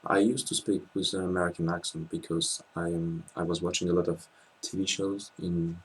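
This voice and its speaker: A man in his twenties with a French accent, chatting casually as a guest, hesitant with many pauses and restarts, recorded on a laptop webcam in a small room. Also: low voice, level tone, husky, low-quality recording. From France. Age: 20s. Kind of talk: casual interview chat.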